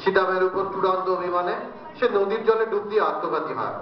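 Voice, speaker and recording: A man's voice: high-pitched, brisk, faint music behind. A man narrating a scripted story in a dramatic storytelling style. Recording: distant, very echoey, dull, faintly noisy.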